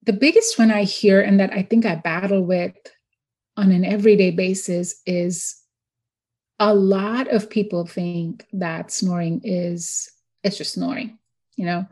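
Woman explaining clearly. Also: medium pitch, steady tone, a little slow, darkish tone. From India. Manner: explanatory, uses quoted examples and analogies. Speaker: dentist guest. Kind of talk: casual interview answers.

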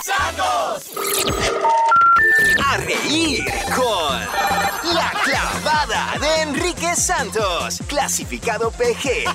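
A woman voicing radio show promos in an emphatic, drawn-out way. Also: sits high, big pitch swings, loud music behind the voice, over a noisy crowd. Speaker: radio announcer.

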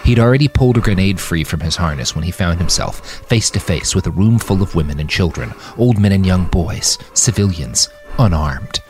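A man's voice: deep voice, expressive, normal speed, few pauses, a little hiss or hum. A man reading scripted fiction as a dramatic audiobook narrator, acting out the dialogue. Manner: dramatic, acts out character dialogue. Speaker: author reading his fiction podcast.

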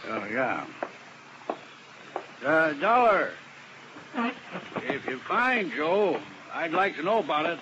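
A man's voice: on the high side, big pitch swings, slow, long pauses, background noise. An actor playing a male defense lawyer with ulcers who talks in a rambling, wistful way, in a 1950s radio drama. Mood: rueful. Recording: muffled old radio recording.

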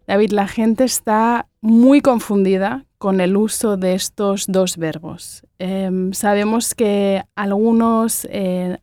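A woman's voice: medium pitch, mellow, no echo, clean studio sound. Encouraging woman podcast host. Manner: friendly, encouraging, with frequent affirming murmurs. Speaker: podcast co-host, language teacher. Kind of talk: casual podcast chat.

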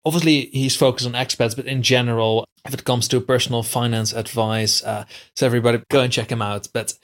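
A man hosts a podcast interview in a flat, level voice. He reacts to his guest and asks the next question with frequent uh fillers. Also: mid-range voice. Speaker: podcast host.

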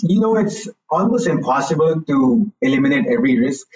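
A man's voice: medium pitch, very animated, brisk, darkish tone, distant mic, rough recording. A man in his forties from India, a guest telling his story frankly in a casual interview. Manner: candid, hedges with "you know, look".

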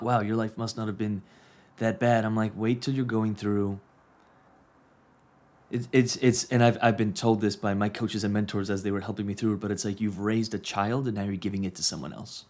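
A man's voice: on the low side, darkish tone. A man in his thirties hosting a coaching podcast, talking earnestly and repeating key phrases for emphasis. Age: 30s. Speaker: podcast host and business coach. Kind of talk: casual reflective monologue.

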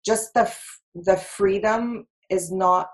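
A woman's voice: medium pitch, slow pace, rough recording. A woman in her thirties from Egypt, a podcast guest talking chattily with lots of laughs and fillers. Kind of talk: casual interview chat.

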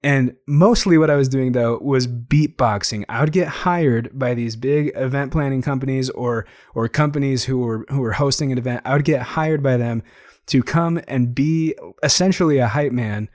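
A young man hosting his own podcast, talking casually and eagerly with a slightly hoarse, tired voice. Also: mid-range voice, moderate pace, darkish tone, dry recording, clean studio sound. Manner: chatty, enthusiastic, frequent fillers. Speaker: podcast host. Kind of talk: casual solo podcast monologue.

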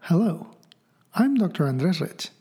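A man hosting a podcast, presenting in an orderly way and putting questions to a guest, with a husky voice. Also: a little high, big pitch swings, unhurried, over loud music. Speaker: podcast host. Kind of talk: scripted podcast introduction and interview questions.

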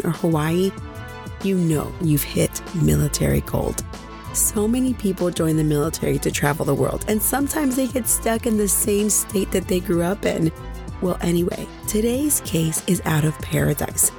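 A woman hosting a true crime podcast, hoarse after nearly losing her voice, chatting directly with her listeners. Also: fairly low pitch, mellow. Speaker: podcast host.

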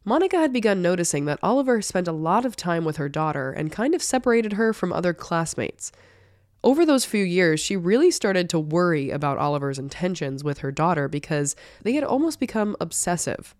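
A woman hosts a true crime podcast while fighting a cold, and her voice sounds congested. She talks in a casual, chatty way and sits fairly low in her range. The sound is dry and close, with no room around it. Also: big pitch swings, a little fast, darkish tone, no echo. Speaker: podcast host.

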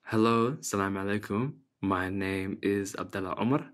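A man's voice: lowish voice, moderate pace. A young man in his twenties who grew up in New Zealand, speaking politely and easily. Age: 20s. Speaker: interviewee.

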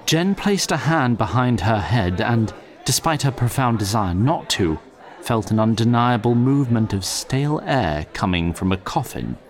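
A man acts as a theatrical narrator of a fantasy tale. His medium-pitched voice is very animated, with formal wording and a wry, dramatic manner. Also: moderate pace.

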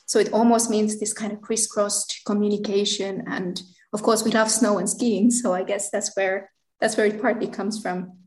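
A woman in her thirties with a Finnish accent, answering as a podcast guest in a measured, explanatory way. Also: mid-range voice, flat delivery. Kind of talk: interview answers. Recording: echoey room with faint music.

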